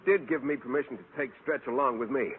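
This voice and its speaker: A man playing a mild, naive high school science teacher, polite and earnest, in a vintage radio sitcom. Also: fast, lightly acted. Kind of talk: 1940s-50s radio sitcom acting. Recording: tinny vintage radio recording, faint noise.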